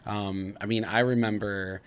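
Casting: male podcast host, relaxed, casual delivery, stumbles and restarts. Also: fairly low pitch, smooth. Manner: easygoing, halting restarts. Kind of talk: casual chat.

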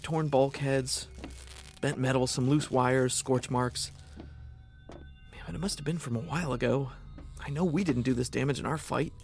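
A man's voice: medium pitch, steady tone, normal speed, darkish tone, soft background music, lightly acted. A man acting a terse, wary space officer. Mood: guarded, reporting. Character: wary, pragmatic male military officer stranded aboard a hostile spaceship. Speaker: lead actor in audio drama. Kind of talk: science fiction audio drama acting.

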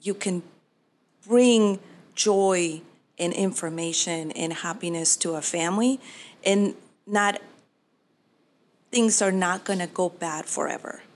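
A woman's voice: lowish voice, takes it slowly, pauses often. A woman, giving earnest, reflective interview answers with frequent restarts. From Peru. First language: Spanish. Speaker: mortgage professional.

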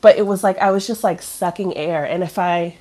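A woman's voice: lowish voice, steady tone, fairly quick, darkish tone. A woman in her thirties chatting casually, sounding stuffy and nasal from a cough, rambling with many fillers and restarts. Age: 30s. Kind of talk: casual chat.

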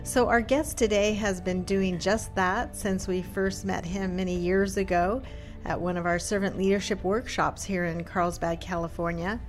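A woman hosting an interview, introducing her guest in an upbeat way with casual fillers. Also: mid-range voice. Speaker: host, institute president.

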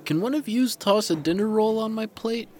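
An actor playing a jovial, food-loving uncle, playful and folksy, in an audio drama. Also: male voice, high-pitched, normal speed, darkish tone, lightly acted. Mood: jovial, bustling.

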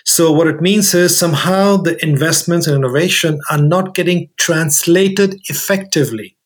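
A man's voice: on the high side, normal speed. A man speaking as an expert interview guest, explaining things methodically and setting out his points in order.

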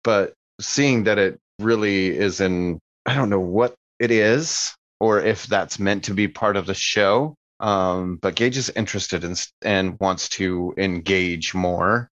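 A man plays a tabletop roleplaying game and improvises what his character does. His low voice moves slowly and thoughtfully, with hesitant gaps. It is recorded dry and close. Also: a bit bright, no room sound, lo-fi sound. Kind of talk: casual improvised game narration.

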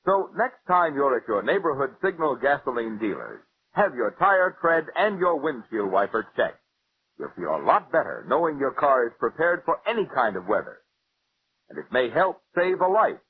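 A man's voice: medium pitch, big pitch swings, moderate pace, slightly rough. A man working as a commercial announcer, reading an advertisement in 1940s radio style. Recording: tinny, narrow old radio recording.

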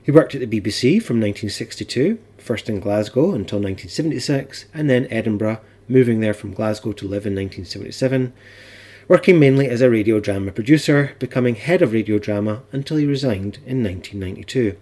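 A man with a husky voice, presenting and interviewing as a podcast host. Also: lowish voice, animated, quick pace, dark tone. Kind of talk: scripted podcast introduction and interview.

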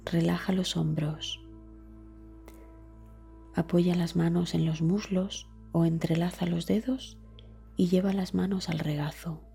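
A woman reads a scripted guided meditation as the guide, calmly and deliberately, with a soft, breathy voice and trailing words. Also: lowish voice, soft background music.

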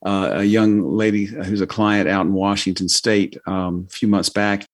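A man's voice: fairly low pitch, little pitch movement, moderate pace. An older man giving financial advice as a podcast guest, in a casual, earnest, folksy and loosely phrased way.

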